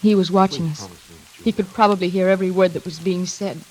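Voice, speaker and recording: An actress playing an anxious, trapped daughter in a 1940s suspense radio drama, tense and dramatic, halting when flustered. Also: female voice, lowish voice, steady tone, darkish tone, a little hiss or hum, natural voice, rough recording. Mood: fearful. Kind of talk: 1940s radio acting, first-person suspense narration. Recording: vintage radio drama with music behind.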